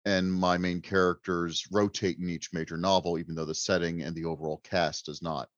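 A man with a low voice talks casually as an author on a panel. He is wordy, articulate and self-deprecating. The recording is dry and a little muffled. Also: flat delivery, brisk, no echo.

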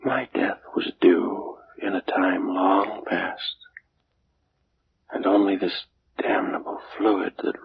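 An actor playing an ancient, world-weary Egyptian man who longs for death, hushed and solemn in the manner of 1940s radio drama. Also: low-pitched, noisy background, full character voice. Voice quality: hushed, breathy, creaky whisper. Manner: slow, solemn, resigned. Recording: dull lo-fi vintage radio recording.

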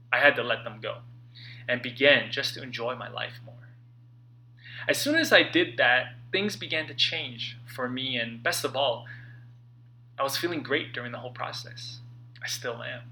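A man narrating his own self-help book in an expressive, earnest and emphatic way, with dramatic phrasing and pauses. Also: mid-range voice, vocal fry, poor audio. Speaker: author reading own book.

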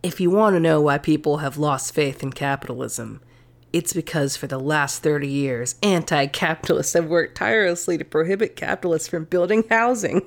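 A woman's voice: low-pitched, brisk, creaky. A woman co-hosting a podcast, chatting casually and sardonically about politics, laughing often. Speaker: podcast co-host.

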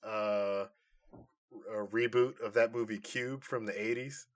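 A man co-hosting a casual pop culture podcast, talking in a jokey, excitable way. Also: fairly low pitch, unhurried. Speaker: podcast co-host.

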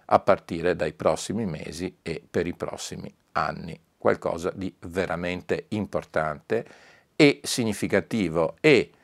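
A low-pitched man delivers an informal promotional announcement. He is emphatic and deliberate, often repeating a key word to underline it. The sound is dry and close, with very little room. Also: creaky, no echo. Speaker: record label owner presenting news.

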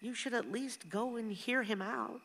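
A woman's voice: on the high side, measured pace, fairly bright tone. An actress playing a tender, frank mother who looks after her grown son in a radio play. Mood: tender, concerned. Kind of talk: conversational stage-style radio drama acting. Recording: reverberant hall-like room.

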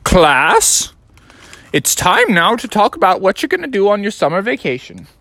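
A storyteller voicing a stern, dramatic female frog teacher who scolds with drawn-out, emphatic words. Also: mid-range voice, wildly swooping pitch, fairly bright tone, no echo, voice pushed up, heavily acted. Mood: brisk.

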